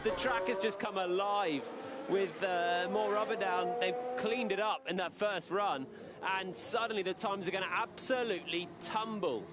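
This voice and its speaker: A man co-commentating a live motor race in an animated, opinionated way, with racing engines behind him. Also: sits high, normal speed, heavy background noise. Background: racing car engines.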